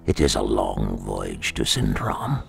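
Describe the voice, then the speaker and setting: Man voicing a silky, sinister king, extremely deep. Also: wildly swooping pitch, vocal fry, soft background music, heavily acted. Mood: silky menace. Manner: slow, silky, falsely polite. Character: sinister tall island king, menacingly courteous and sly.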